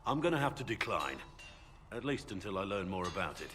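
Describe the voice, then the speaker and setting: An earnest, loyal warrior from a video game. His voice is deep, plainspoken and measured, with clear ambient noise around it. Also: very animated. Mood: thoughtful. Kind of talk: video game character acting.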